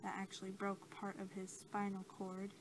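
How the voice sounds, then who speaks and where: A noisy home recording of a young woman in a soft ASMR role-play. She speaks gently and haltingly at a medium pitch, with very little rise and fall. Her hushed voice tends to sink toward a whisper. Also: monotone. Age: young adult. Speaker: ASMR creator role-playing a creature examiner. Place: small cluttered room indoors.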